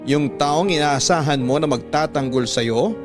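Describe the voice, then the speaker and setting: A man's voice: fairly high pitch, a little slow. A man narrating a listener's letter in the first person as a dramatic radio storyteller. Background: background music.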